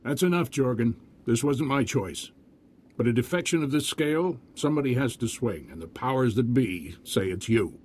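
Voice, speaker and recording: An actor playing a stern, resigned army general in a video game, speaking with authority. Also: male voice, mid-range voice, lively intonation, moderate pace, darkish tone, lightly acted. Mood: stern regret. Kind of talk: video game cutscene acting.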